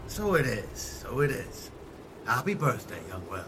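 Lively, friendly village smith. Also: male voice, mid-range voice, unhurried, noisy background. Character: friendly village smith greeting a young boy. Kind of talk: audio drama acting.

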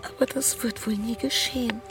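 An actress playing a motherly troll who tells old tales in a children's radio play, sometimes hushing to a whisper. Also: a little high, fairly even intonation, normal speed, natural voice. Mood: storytelling. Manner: storytelling, hushed at times. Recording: loud music bed under dialogue.

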